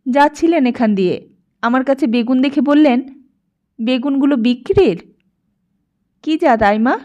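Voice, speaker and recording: Woman voicing bashful teenage village girl, innocent, curious, fairly high voice, storybook character. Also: measured pace, a little mellow, dull audio. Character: shy sixteen-year-old village girl, curious and innocent.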